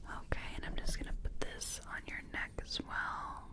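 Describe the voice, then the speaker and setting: A woman softly whispering in an ASMR roleplay as a makeup artist, breathy and gentle, with a hesitant, murmuring delivery. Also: normal speed. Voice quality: breathy, mostly unvoiced whisper.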